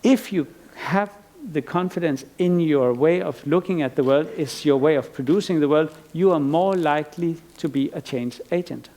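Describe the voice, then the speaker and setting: Man, middle-aged, from Iceland, lecturing on stage; raspy, fairly high voice, musing and halting. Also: warm tone. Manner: thoughtful, rambling with frequent restarts and fillers. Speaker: artist giving a lecture. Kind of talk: conference lecture.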